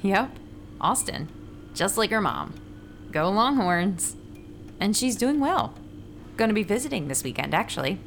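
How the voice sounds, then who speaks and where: A woman's voice: medium pitch, very animated, unhurried, creaky, pauses often, a little hiss or hum. A woman playing a friendly, ordinary runner and ad writer, narrating her story in a scripted fiction podcast. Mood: friendly, upbeat. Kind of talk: first-person scripted audio drama narration with dialogue.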